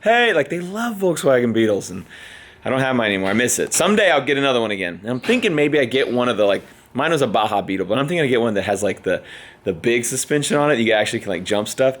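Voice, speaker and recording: A young adult man with a slightly hoarse voice, chatting casually as a veterinarian vlogger in an easygoing, rambling way. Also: lowish voice, echoey room. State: a little hoarse. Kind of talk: casual vlog chat.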